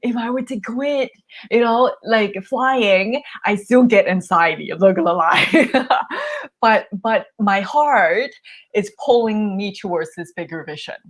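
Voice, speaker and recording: A woman's voice: medium pitch, sing-song, slow. A young woman talking candidly about her fears as she gets coached, laughing often and filling her speech with "um" and "like.". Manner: chatty, laughs often, many fillers and restarts. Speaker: podcast host receiving coaching. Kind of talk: candid conversational chat.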